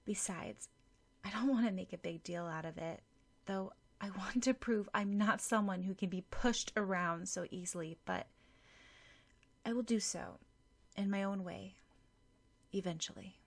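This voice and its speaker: A woman voicing a sweet, earnest girl in an audiobook, speaking softly. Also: mid-range voice, unhurried, creaky, pauses often. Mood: earnest, resolute. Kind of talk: audiobook character dialogue.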